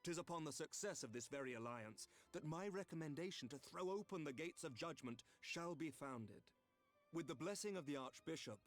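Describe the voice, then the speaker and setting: An actor playing a courtly, gracious nobleman envoy in a fantasy game, speaking in formal, measured, archaic oratory. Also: on the high side, natural voice. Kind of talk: video game cutscene acting.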